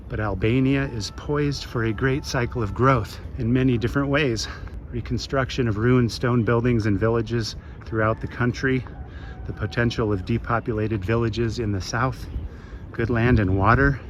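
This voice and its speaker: A man in his late fifties from the west coast of the United States, narrating a travel vlog in a measured, reflective way. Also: medium pitch, slight background noise. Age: 50s. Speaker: travel vlogger. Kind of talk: scripted voice-over narration.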